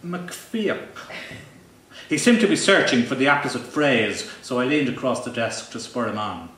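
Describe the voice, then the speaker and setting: A man giving a live comic reading from his novel in a pompous, deadpan, mock-grandiose manner. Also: medium pitch, a little fast. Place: live event venue. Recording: roomy, distant live recording with faint noise.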